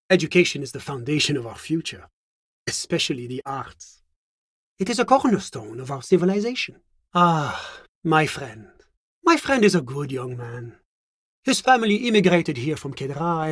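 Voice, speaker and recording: A man's voice: fairly high pitch, no echo, studio quality. A man voicing a smug, genteel international bureaucrat in a video game, urbane, coy and condescending in a theatrical way. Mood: genial, lofty. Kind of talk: video game character dialogue.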